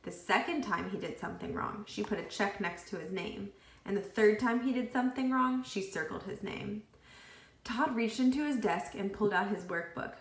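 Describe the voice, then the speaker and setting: A woman reading a children's book aloud expressively, with deliberate phrasing and acted dialogue. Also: mid-range voice, echoey room. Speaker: read-aloud storyteller.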